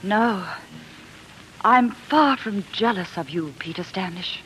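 An actress in a 1940s radio drama playing a proud, fearful eighteenth-century London fiancee, formal and melodramatic. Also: female voice, medium pitch, expressive, takes it slowly, fairly bright tone, a little hiss or hum. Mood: bitter. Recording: dull 1940s radio recording.

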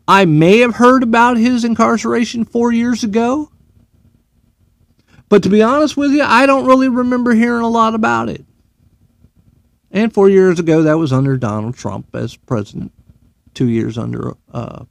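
A man's voice: sits high, moderate pace, creaky, dry recording. A man, a military veteran, delivering a profane, sarcastic and heated opinion monologue.

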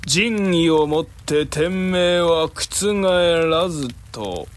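Slow, solemn, vengeful exiled emperor. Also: male voice, fairly high pitch, lively intonation, slight background noise. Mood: menacing, imperious. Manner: slow, solemn incantation, archaic imperious speech. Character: vengeful exiled emperor chanting curses on his enemies. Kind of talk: Japanese historical audio drama acting.

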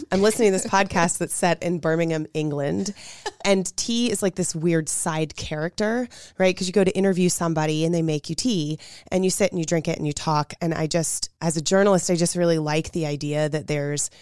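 A woman's voice: lowish voice, brisk, darkish tone, clean tone, dry recording, clean studio sound. A woman journalist co-hosting a podcast, talking in a conversational way and thinking aloud. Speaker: journalist co-host. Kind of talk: podcast chat.

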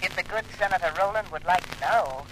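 A man's voice: high voice, fast, close to an everyday voice. A man playing a mysterious anonymous informant in a 1950s radio drama, speaking in a gleeful, teasing way. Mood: gleeful taunting. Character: mysterious, taunting anonymous male telephone informant. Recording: noisy old radio drama recording.

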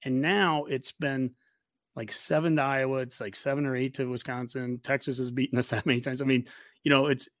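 A man's voice: mid-range voice, flat delivery, a little fast, no echo. Podcast host, American man in his forties, enthusiastic and rambling sports talk, very dry recording. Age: 40s. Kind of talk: casual sports chat.

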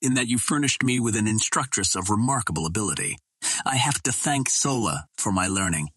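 Man reading as gallant Earthman captain, storybook hero, husky, low, formal, proud, dry close sound. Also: lively intonation, fairly quick, a bit bright, no room sound. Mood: courteous. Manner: formal, courtly, firm.

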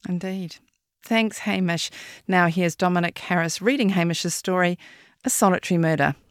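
A woman with a New Zealand accent hosting a podcast, giving a scripted intro and asking interview questions in a clear presenter manner. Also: fairly low pitch, normal speed, no echo. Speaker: podcast host.

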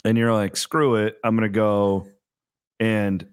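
Lowish man hosting a podcast. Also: a little slow, smooth, dry recording, clean studio sound. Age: 30s. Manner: energetic, talks in bursts with restarts. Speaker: podcast host. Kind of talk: casual chat.

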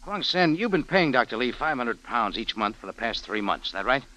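An actor playing a tough, wry hardboiled adventurer who narrates and talks in an old-time radio drama. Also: male voice, mid-range voice, big pitch swings, a little fast, pushed and bright. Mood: urgent, pressing. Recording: dull vintage radio recording with music.

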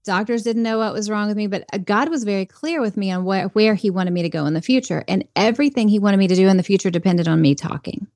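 A woman's voice: medium pitch, fast talker, hardly any pauses, a little mellow, no echo. A woman guest on a podcast interview, talking earnestly and reflectively about faith and leadership. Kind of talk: conversational interview.

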